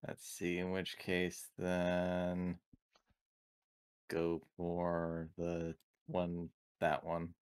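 A man's voice: sits low, completely flat delivery, drawn-out words, darkish tone, clean tone, no room sound, studio quality. A man playing a tabletop game, chatting casually about his turn.

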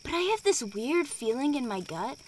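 A woman's voice: a little high, sing-song, moderate pace, a little mellow, slight background noise. A woman playing a self-assured, skeptical young girl scout in an audio drama. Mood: musing.

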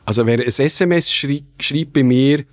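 A man in his fifties talks in relaxed Swiss German at an even middle pitch, spinning easygoing anecdotes and dry little jokes. He sits at a table in a dark podcast studio, close to a desk mic on a boom arm. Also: moderate pace. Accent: Swiss German, Central Swiss mix. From Switzerland, canton of Bern. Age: 50s. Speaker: podcast guest. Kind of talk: casual conversation.

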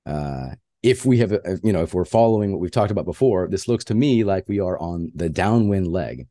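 A man's voice: sits low, expressive, brisk, mellow, no echo, studio quality. A man who is a flight instructor, giving a plainspoken slide lecture on flying with frequent filler uhs.

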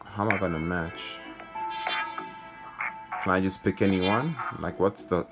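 Deep voiced guy doing chill informal gameplay talk, music playing loud behind him. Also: unhurried. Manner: informal, thinking aloud. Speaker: gaming video host. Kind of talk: casual gameplay commentary. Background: game music.

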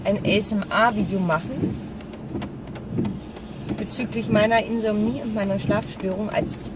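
A woman chatting casually and candidly to her viewers as she drives, in a rambling vlog style. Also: mid-range voice. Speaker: vlogger. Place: inside a car while driving. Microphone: camera mounted in front, at a distance. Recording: car cabin, loud driving noise, distant mic.

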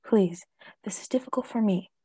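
A solemn, insistent professor who hunts vampires played by a woman speaks in a breathy, dark voice. The delivery is deliberate and emphatic radio-play acting, recorded close and dry. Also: medium pitch, dry recording, close to an everyday voice. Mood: grave, pleading. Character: grave, insistent vampire-hunting professor Van Helsing.

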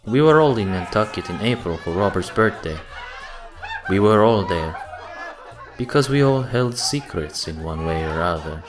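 Man playing a suave, lively-pitched gentleman gambler. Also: normal speed. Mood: guarded. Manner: suave, courteous, theatrical. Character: smooth, sociable Italian gentleman gambler with secrets. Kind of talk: amateur radio play acting. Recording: music and crowd chatter behind.

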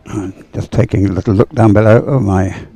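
A man giving chatty live sports commentary in a halting, unhurried way, as if thinking aloud. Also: fairly low pitch, steady tone, gravelly, mellow, husky, no echo.

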